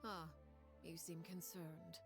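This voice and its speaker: A composed woman in command from a video game. Her voice sits low and she speaks in formal, measured phrases. The politeness carries quiet menace, and soft music plays behind her. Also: little pitch movement. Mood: calm, veiled threat.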